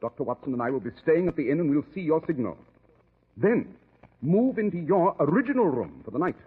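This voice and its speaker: Tinny 1940s radio drama, shrewd detective, husky, urbane, precise, dryly witty, lively intonation. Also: male voice, fairly high pitch, fairly quick, full character voice. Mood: grave, commanding. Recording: thin, band-limited 1940s radio recording.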